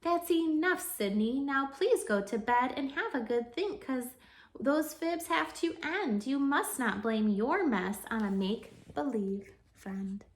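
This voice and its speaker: An exasperated mother from a children's story, voiced by a woman reading aloud. She scolds her little boy firmly. The voice sits high, with noticeable room echo. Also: animated, a little slow. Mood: stern, exasperated.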